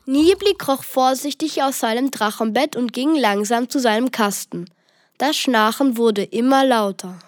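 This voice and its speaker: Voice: mid-range voice, unhurried, no room sound. A child reading scripted narration for a children's story.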